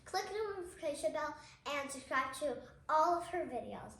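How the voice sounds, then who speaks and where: Bright, high-pitched child presenter. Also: lively intonation, moderate pace, slight background noise. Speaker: child co-presenter introducing a friend's reading video. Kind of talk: casual video intro. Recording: echoey room.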